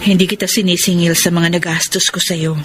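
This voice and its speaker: An actress with a Filipino accent playing a sorrowful, lovelorn woman in a radio soap drama, speaking softly and tenderly. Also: on the low side, flat delivery, fairly quick, noisy background, natural voice. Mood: tender, wistful. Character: lovelorn, sorrowful woman pleading with her former lover. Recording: loud music bed under radio drama. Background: sentimental music.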